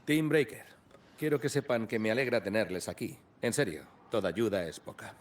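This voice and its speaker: Actor as a genial low-voiced small-town sheriff. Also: male voice, animated, normal speed, slight background noise, natural voice. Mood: genial welcome. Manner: friendly, easygoing, hospitable. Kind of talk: dubbed video game acting.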